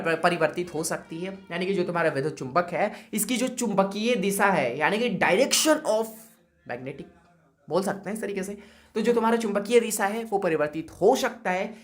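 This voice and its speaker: A man with a sore throat, teaching physics exam answers in a chatty way, often checking in with students and calling them brother. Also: high voice. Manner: chatty, repeats "okay" and calls students "brother". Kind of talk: exam-prep lesson.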